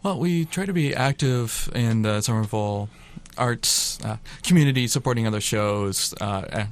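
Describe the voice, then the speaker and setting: A man with a fairly low voice speaks casually as a guest in a radio interview. He represents a radio theater company and talks in a conversational style.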